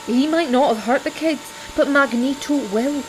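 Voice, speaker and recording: A woman's voice: sits high, moderate pace, noisy background, close to an everyday voice. An actress playing a stern, caring, exasperated woman in an audio drama, blunt and firm. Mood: angry.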